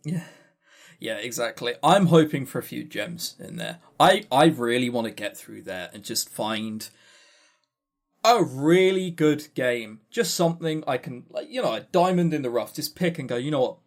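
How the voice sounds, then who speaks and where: A man co-hosting a podcast, chatting casually and opinionatedly about games in a halting, animated way. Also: mid-range voice. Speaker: podcast co-host.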